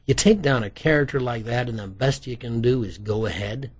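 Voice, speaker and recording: A man's voice: mid-range voice, lively intonation, a little fast, husky. A man voicing a teasing, theatrical wisecracker who tags along with police, in an audiobook.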